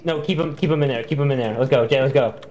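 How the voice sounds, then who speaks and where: A man's voice: mid-range voice, fast talker, a little mellow. A man talking casually and jokingly with friends during a game. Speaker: gamer playing with friends. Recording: noisy voice chat.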